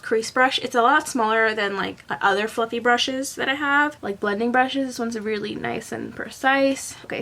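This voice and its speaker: Young woman vlogger from Colombia, light Southern US accent, slightly high pitch, casual rambling delivery. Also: a bit bright. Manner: chatty, rambling, lots of "like" fillers. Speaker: beauty vlogger. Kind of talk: casual makeup tutorial chat. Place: bedroom at home.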